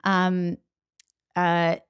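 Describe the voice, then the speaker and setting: A woman's voice: fairly low pitch, completely flat delivery, drawn-out words, clean tone, no room sound, clean studio sound. A young woman hosting a podcast, talking candidly and chattily with lots of asides. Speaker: podcast host. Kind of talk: casual interview chat.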